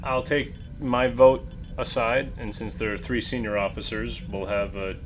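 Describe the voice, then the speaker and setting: A man's voice: lowish voice, steady tone, a little slow. A man playing a starship captain in a casual tabletop game discussion. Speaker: player acting as ship captain. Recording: clearly noisy background.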